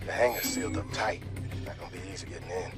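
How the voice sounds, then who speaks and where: A man's voice: sits low, rapid-fire, lightly acted. A man playing an alert military lookout in a video game, relaying warnings in a terse, matter-of-fact way. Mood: terse, urgent. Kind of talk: video game cutscene acting. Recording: loud music over the voice.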